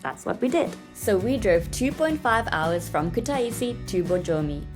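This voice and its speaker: A woman's voice: medium pitch, lively intonation, normal speed. A young adult woman with a South African accent, chatting and narrating casually as a travel vlogger. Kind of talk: casual vlog narration and chat. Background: music.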